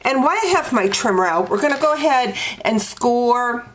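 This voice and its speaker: A woman's voice: a little high, lively intonation, noisy background. A woman with a congested, stuffy nose, walking through a craft project step by step with frequent fillers. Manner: slow, step-by-step instructing with fillers. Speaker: card-making demonstrator. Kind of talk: crafting tutorial walkthrough.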